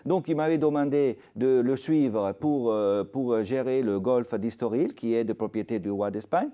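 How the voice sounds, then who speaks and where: Italian-accented older man, mellow voice. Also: mid-range voice, normal speed. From Italy. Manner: hesitant, many fillers and restarts. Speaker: restaurateur guest. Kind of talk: TV interview, anecdotal.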